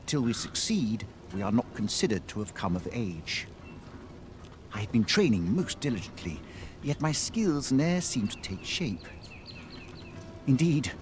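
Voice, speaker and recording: An actor playing a polite, earnest young elf archer who speaks in formal archaic phrases in a fantasy game. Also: male voice, medium pitch, slight background noise, natural voice. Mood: earnest, frustrated. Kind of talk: scripted fantasy game dialogue.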